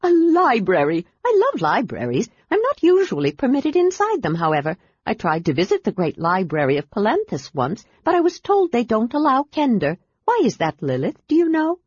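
A woman's voice: fairly high pitch, huge pitch swings, normal speed, few pauses, breathy edge, no echo, dull audio, voice pushed up, exaggerated voice. A woman voicing an eager, chatty little male kender who rambles breathlessly. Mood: excited.

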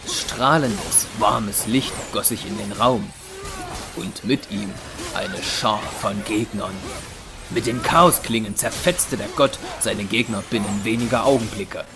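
Low-voiced male audio drama narrator. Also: a little slow. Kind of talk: scripted dramatic storytelling narration. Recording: music under the voice.

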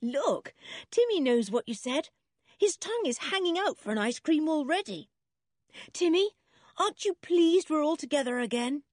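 Woman doing tomboy girl, storybook character, hearty, bold, very animated. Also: medium pitch, a little slow, slightly rough, no echo, clean studio sound, voice pushed up. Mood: happy. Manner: hearty, emphatic.

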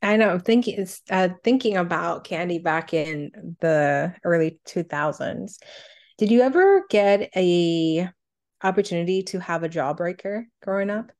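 Low-pitched woman chatting as co-host. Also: animated, measured pace, darkish tone. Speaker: co-host of a cartoon countdown show. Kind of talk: casual chat, reading out countdown entries.

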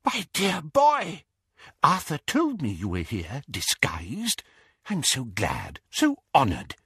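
A man voicing a frail, fussy old male wizard with a high-pitched, wheezy voice. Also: huge pitch swings, slow pace, pushed and bright, husky, no echo, studio quality, heavily acted. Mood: tearful. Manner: flustered, tearful, indignant. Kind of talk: audiobook character voice.

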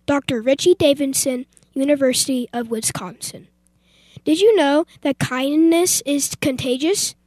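A woman's voice: high voice, no echo. A female youth radio presenter reading out scripted facts.

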